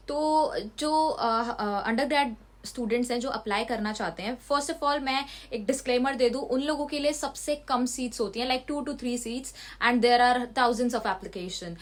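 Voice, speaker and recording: A young woman from India talking casually to her channel, switching between Hindi and English as she explains and answers questions. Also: fairly high pitch. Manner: mixes Hindi and English, explanatory, slightly defensive. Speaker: student vlogger. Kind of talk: casual vlog Q&A.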